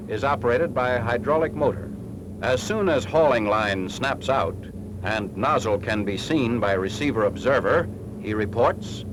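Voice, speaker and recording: American male, mid-pitched, formal clipped instructional read for a 1940s military training film, noisy vintage soundtrack.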